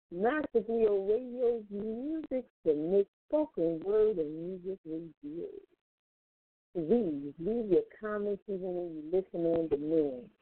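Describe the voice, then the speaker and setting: A woman's voice: mid-range voice, dry recording. A woman hosting a radio show in an informal style, speaking over a thin narrowband connection. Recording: dull, dry, phone-like narrowband line.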